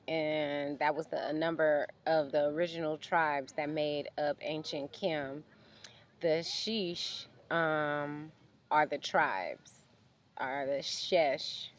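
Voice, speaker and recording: A woman's voice: sits low, slight background noise. A young woman vlogging outdoors at night, explaining things in a loose, chatty way full of ums. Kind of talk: casual explanatory vlog.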